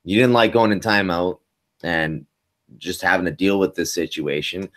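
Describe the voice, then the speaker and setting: Young man with a deep boomy voice. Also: moderate pace, fairly bright tone. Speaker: podcast host. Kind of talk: casual interview chat. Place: home music studio.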